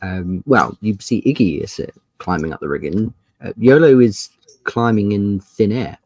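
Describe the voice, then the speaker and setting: A man's voice: fairly low pitch, moderate pace, darkish tone, no room sound. A man running a tabletop role-playing game as game master, narrating casually and thinking aloud as he goes.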